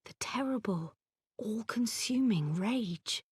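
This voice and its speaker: A sorrowful fantasy game heroine. Her voice is fairly high but extremely breathy, close to a whisper. She speaks gravely and haltingly, in a dry, close sound. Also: expressive, no echo, full character voice. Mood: sorrowful, haunted. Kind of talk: dramatic video game cutscene acting.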